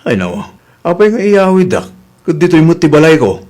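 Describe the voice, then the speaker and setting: A man's voice: a little high, big pitch swings, brisk, darkish tone. An actor in a radio drama playing a frail, weary older man who asks plaintive questions.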